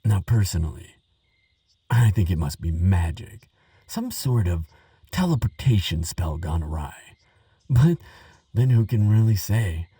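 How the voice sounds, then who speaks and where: A man playing an earnest, excitable archaeologist who talks gently to a friend, stammering and musing with wonder, in a relaxing audio roleplay. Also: low-pitched, unhurried, long pauses, slightly rough, dry recording, far from the mic, exaggerated voice. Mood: musing, puzzled. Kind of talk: relaxing scripted audio roleplay, spoken to the listener.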